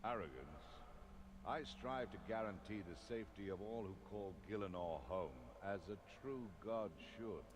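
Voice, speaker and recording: A righteous, authoritative fantasy game god, played by a man, in a low voice. He is proud and pompous, with clearly audible noisy ambience behind him. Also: animated, normal speed, natural voice. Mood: lordly. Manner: pompous, lordly. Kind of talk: fantasy video game voice acting.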